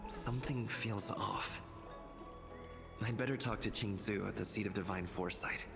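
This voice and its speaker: An actor voicing a wary male traveler thinking to himself in a video game, guarded and deliberate. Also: fairly low pitch. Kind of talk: video game character dialogue. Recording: long reverb, clear music behind.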